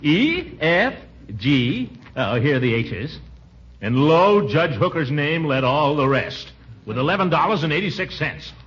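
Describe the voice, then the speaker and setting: A man's voice: on the high side, very animated, measured pace, a bit bright. An American man playing a blustering, self-important middle-aged water commissioner in 1940s radio comedy style, pompous and theatrical. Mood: smugly officious. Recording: dull, noisy 1940s radio broadcast.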